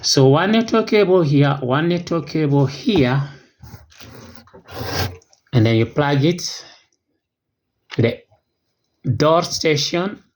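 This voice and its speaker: An unboxing host giving a casual product walkthrough in short, simple, clipped phrases, at a medium pitch. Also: expressive, slow pace, pauses often. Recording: noisy, with clicks and crinkling packaging.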